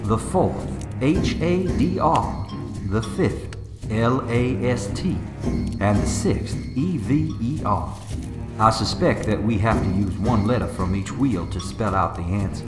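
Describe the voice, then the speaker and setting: A male actor as a clever, cautious preacher with a lowish voice. He is earnest and measured, with long-suffering dry exasperation. It is radio drama, clearly echoing, with music behind him. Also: expressive. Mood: careful, thoughtful. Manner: careful, measured, dryly exasperated. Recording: roomy echo, music underneath.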